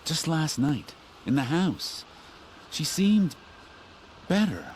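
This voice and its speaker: An actor playing a distraught middle-aged father in a video game, speaking in an anguished, halting, emphatic way. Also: on the high side, big pitch swings, darkish tone, slight background noise. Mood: wistful. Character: distraught middle-aged father searching for his missing daughter. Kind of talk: video game character acting.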